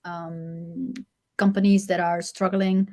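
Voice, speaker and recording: A woman's voice: on the low side, little pitch movement, slow, a little mellow. A woman from the Netherlands with a mixed Dutch and international accent, a guest chatting casually with many fillers and restarts. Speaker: agency CEO guest.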